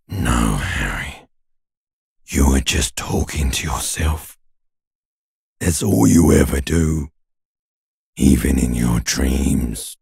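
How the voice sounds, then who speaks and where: A man voicing an ancient reptilian brain in a video game, a menacing, mocking inner voice with a raspy growl and a drawn-out, taunting delivery. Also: a bass voice, a little mellow, no room sound, clean studio sound, exaggerated voice. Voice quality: extremely gravelly, raspy growl. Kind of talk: dramatic video game voice acting.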